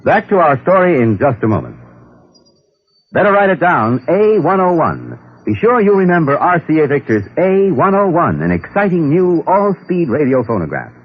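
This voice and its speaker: Animated male radio announcer, old muffled recording. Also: a little high, normal speed, slight background noise. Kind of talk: vintage radio commercial announcing.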